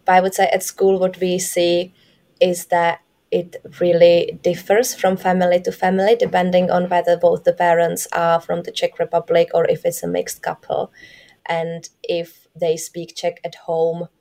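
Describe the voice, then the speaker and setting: A woman who teaches at a school, answering interview questions in a measured, thoughtful way. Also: lowish voice, monotone, gravelly, warm tone. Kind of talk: interview conversation.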